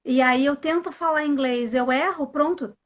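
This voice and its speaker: A woman who teaches English, hosting a live video call and explaining in a casual, animated way, often asking questions and giving examples. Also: sits high, normal speed, highs cut off.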